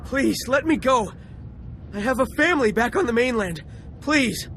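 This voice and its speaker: An actor playing a cowardly, anxious rebel soldier in a video game, pleading to survive. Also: male voice, extremely high pitch, animated, moderate pace, fairly bright tone, a little hiss or hum, exaggerated voice. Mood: terrified pleading.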